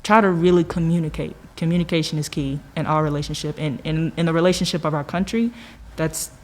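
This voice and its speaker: A young woman speaks in a slightly low register as a podcast panelist. Her delivery is casual and unscripted, often pausing to find the word and leaning on "you know.". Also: fairly even intonation. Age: young adult. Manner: informal, searching for words, repeats "you know". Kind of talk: casual conversational discussion.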